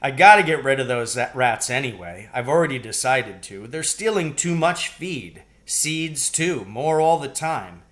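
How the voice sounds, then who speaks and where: A man's voice: mid-range voice, animated, unhurried, few pauses, a bit bright. Storybook farmer father, folksy and practical, plain-spoken played by a male reader. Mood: matter-of-fact. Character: Mr. Fitzgibbon, practical matter-of-fact farmer father. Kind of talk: acted storybook dialogue.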